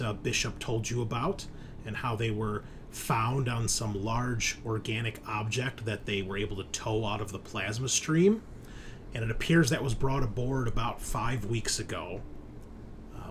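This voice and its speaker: A man's voice: mid-range voice, a little hiss or hum. A man narrating a sci-fi horror tabletop game as game master in a casual, descriptive, explanatory way.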